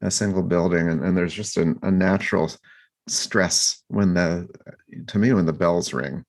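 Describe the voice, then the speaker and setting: Man, very deep voice, gravelly, halting reflective talk, podcast co-host. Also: fairly even intonation. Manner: halting, many restarts and fillers. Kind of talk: reflective casual discussion.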